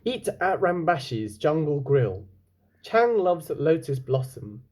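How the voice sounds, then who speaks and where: A man voicing an eager, earnest young man who pleads quickly for help, in a storybook read-aloud. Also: fairly high pitch, big pitch swings, a little mellow, exaggerated voice.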